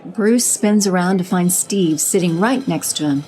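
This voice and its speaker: A woman giving even, descriptive scripted narration for an audio drama. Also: lowish voice, measured pace.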